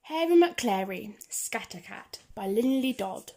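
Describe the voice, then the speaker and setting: A woman's voice: mid-range voice, animated, unhurried, mellow. A woman reading a children's picture book aloud, with a rhythmic, singsong delivery of the rhyming verse. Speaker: reader of children's picture books. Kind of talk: storybook read-aloud.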